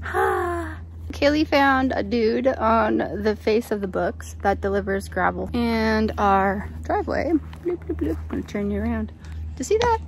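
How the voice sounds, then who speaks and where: Playful, unhurried woman, 30s, jokey selfie-style delivery outdoors. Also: a little high, animated, a little hiss or hum. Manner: playful, jokey, makes silly sound effects. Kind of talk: casual vlog chat. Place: outdoors on a rural homestead. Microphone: phone at arm's length.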